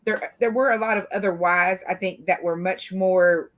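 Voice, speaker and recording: A woman's voice: lowish voice, fairly even intonation, normal speed. A woman from the American South speaking casually and at length as a panel commentator, circling through her points with lots of filler phrases. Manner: rambling, frequent "you know". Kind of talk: casual true-crime discussion.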